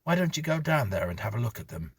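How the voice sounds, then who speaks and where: Man acting an exasperated husband, gravelly and emphatic. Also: mid-range voice, sing-song, fast, no echo, voice pushed up, heavily acted. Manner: emphatic, indignant. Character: exasperated, resentful husband and father, insistent and scornful.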